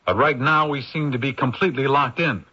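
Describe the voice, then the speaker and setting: A man's voice: mid-range voice, brisk, sharp tone, husky. An actor playing a harried, irritable male film director in a radio drama, exasperated and brusque. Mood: impatient. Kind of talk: old-time radio drama acting. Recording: dull vintage radio recording.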